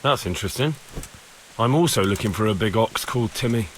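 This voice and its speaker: A man's voice: fairly low pitch, expressive, fairly quick, slight background noise, lightly acted. An actor playing an earnest young man in a video game, questioning people in a direct, probing way. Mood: guarded. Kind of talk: video game cutscene acting.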